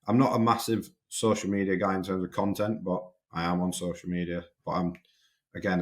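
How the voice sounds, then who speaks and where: A young man with a Yorkshire accent speaks in a casual podcast interview. His voice sits very low and stays flat and even, and he talks haltingly, often repeating words as he restarts sentences. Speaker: strength and conditioning coach, podcast guest.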